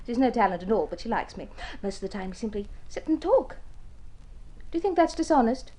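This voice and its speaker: An actress playing a devoted, excitable young wife in an old radio drama, theatrical and expressive. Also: female voice, on the high side, brisk. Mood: delighted, proud. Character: devoted young wife, struggling music student, proud and excitable. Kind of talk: mid-century radio drama acting.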